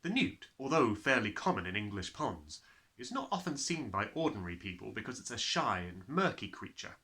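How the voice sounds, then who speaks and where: A man reading a children's story aloud with expressive, dramatic narration. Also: lowish voice, brisk. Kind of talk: animated scripted narration.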